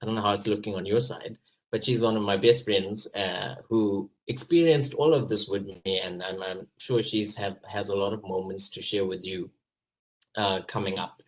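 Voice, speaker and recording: A man's voice: fairly low pitch, fairly even intonation, moderate pace, poor audio. A South African actor on a video call, recalling his time abroad in an earnest, enthusiastic way. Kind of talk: casual personal recollection.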